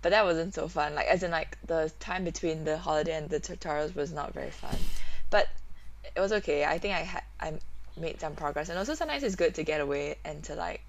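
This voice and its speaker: A young woman from Malaysia co-hosts a relaxed podcast chat. Her lowish voice has a lot of gravelly fry, and she laughs often and lets her sentences trail off. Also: a little fast, slight background noise. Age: young adult. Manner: laughing, self-deprecating, trailing off. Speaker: podcast co-host.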